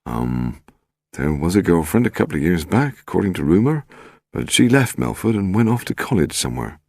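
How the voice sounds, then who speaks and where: A man's voice: low voice, big pitch swings, brisk, gravelly, a little mellow, dry recording, clean studio sound, heavily acted. A British man voicing a defensive, uncomfortable local police inspector in an audiobook, guarded in manner. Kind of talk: audiobook character dialogue.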